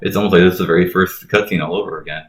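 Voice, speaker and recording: A man's voice: deep voice, fairly even intonation, rapid-fire, roomy sound. A man giving casual, sarcastic and profane joking commentary over game cutscenes. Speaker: let's play commentator. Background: game music and dialogue.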